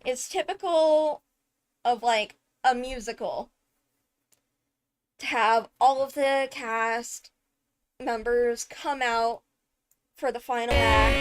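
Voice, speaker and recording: A woman giving casual, excited video commentary on a game trailer, chatty and thinking aloud with frequent interjections. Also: a little high. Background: loud music from the video under commentary.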